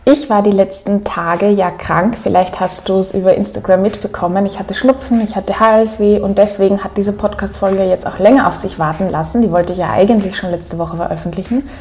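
Upper Austrian woman with gravelly fry. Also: mid-range voice, steady tone, brisk, few pauses, mellow. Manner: chatty, frequent ums, friendly direct address. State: recovering from a cold. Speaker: podcast host. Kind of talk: solo podcast intro.

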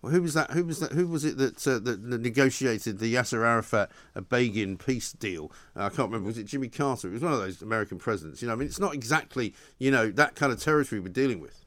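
A man hosts political talk radio, speaking very quickly. His manner is sardonic and dryly mocking, and the sound is close and dry, with no room echo. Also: mid-range voice, animated, fairly bright tone. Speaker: talk show host.

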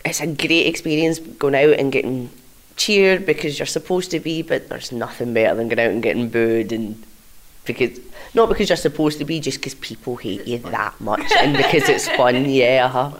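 A woman's voice: very deep voice, normal speed. A woman with a Glasgow accent chatting casually as an interview guest, full of fillers and restarts. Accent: Scottish, Glasgow. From Scotland. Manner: chatty, filler-heavy, leans on "like". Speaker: guest wrestler.

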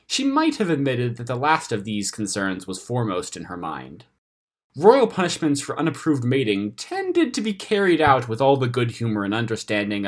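A man narrates an epic fantasy tale in a medium-pitched, slightly rough voice. His delivery is dramatic and expressive, with pitch that moves freely up and down as he reads from a script. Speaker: story narrator reading own fantasy tale.

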